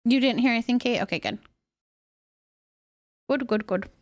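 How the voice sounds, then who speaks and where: A woman's voice: on the high side, normal speed. A woman hosting a game livestream, chatting casually with viewers and helpfully explaining steps as she plays. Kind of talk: casual livestream chat while playing.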